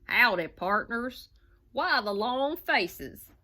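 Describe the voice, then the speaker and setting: A woman's voice: fairly high pitch, animated, slow pace, bright, forceful tone, pitched above the performer's own voice, full character voice. A woman voicing a bold, folksy cowboy man in a children's storybook read-aloud, with a boastful drawling manner.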